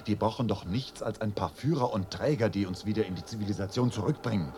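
A man's voice: lowish voice, quick pace, lightly acted. A man acting in a radio drama as an agitated, impatient, sceptical young Englishman, speaking with exasperated emphasis. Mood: sceptical, irritated. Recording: noisy drama scene.

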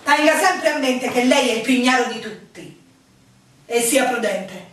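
Radio play: haughty, protective innkeeper woman, admonishing, higher-pitched, very roomy sound. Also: expressive, a little slow, a bit bright. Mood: stern, warning. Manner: imperious, admonishing, formal. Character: stern, condescending innkeeper woman, protective and proud. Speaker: stage actor in a radio play. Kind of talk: theatrical radio drama dialogue. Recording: very echoey, distant, music behind.

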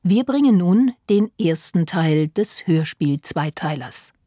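A woman's voice: fairly low pitch, animated, slow pace, mellow, dry recording, studio quality. A woman reading a scripted programme introduction as a radio announcer.

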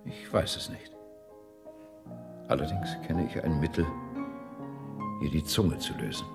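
Gravelly, grave prosecutor. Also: male voice, very deep voice, lively intonation, over music, exaggerated voice. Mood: guarded, persuasive. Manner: measured, restrained. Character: grave, formal, dutiful male public prosecutor. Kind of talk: German radio drama acting.

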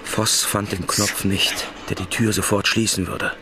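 An actor giving suspenseful, scripted narration of an escape in a radio crime drama. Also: male voice, lowish voice, level tone, measured pace, over music, lightly acted.

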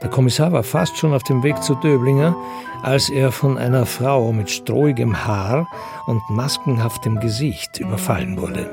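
A man's voice: mid-range voice, moderate pace, vocal fry, natural voice. A man playing the wry narrator of a radio crime drama, reading scripted narration. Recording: music behind the voice.

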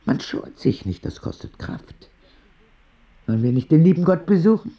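A woman's voice: deep voice, expressive, moderate pace, highs cut off. A woman playing a blunt, kindly old grandmotherly figure, wry and plain-spoken, in a radio drama. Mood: gentle.

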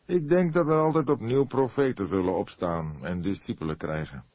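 A man plays a seasoned older detective in a crime story. His voice is very low and husky, recorded dry and close. He phrases things thoughtfully, with measured courtesy. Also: darkish tone, no echo, close to an everyday voice. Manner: deliberate, measured, earnest. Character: grey-haired veteran male detective, thoughtful and courteous.

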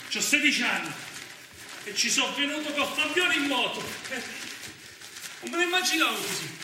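Restless Roman fugitive, very echoey. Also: male voice, very high-pitched, normal speed. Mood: reflective. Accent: Roman Italian. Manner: colloquial, swearing, swinging from brooding to excited play-acting. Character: restless, foul-mouthed young male fugitive hiding in a dark flat. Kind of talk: theatrical stage monologue. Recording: roomy, distant mic with faint noise.

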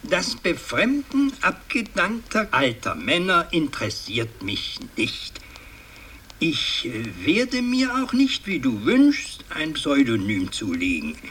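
Elderly family patriarch, pompous, pedantic, slow-paced, sharp bright voice, halting hums, radio play. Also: male voice, fairly high pitch, huge pitch swings, husky, far from the mic, heavily acted. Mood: grave, disapproving. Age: older. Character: stern aristocratic German family patriarch, older man, pompous and fussy. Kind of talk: radio drama monologue, addressing a family meeting.

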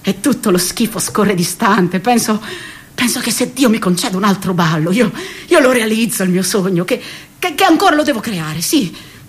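A woman playing a restless, defiant, jobless thirty-year-old scooter rider in a radio theatre monologue, halting and agitated, with a husky voice. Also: mid-range voice, expressive. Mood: tense, wry, then defiant, insistent. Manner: halting, repetitive, agitated, swinging between murmurs and outcries.

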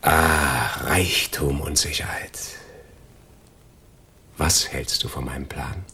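An actor playing a cold, gloating criminal in a radio crime drama, taunting and deliberate in his delivery. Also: extremely low pitch, steady tone, sharp tone, a little hiss or hum, heavily acted. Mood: coldly gloating. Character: cold, gloating male criminal double-crossing his accomplices.

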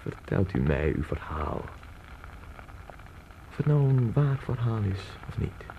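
A deep, gravelly male novelist in a radio drama, worldly and curious. He talks formally and at length, measured and courteous, with noise behind the voice. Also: big pitch swings, phone-quality sound. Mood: courteous, intrigued. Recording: noisy radio drama recording.

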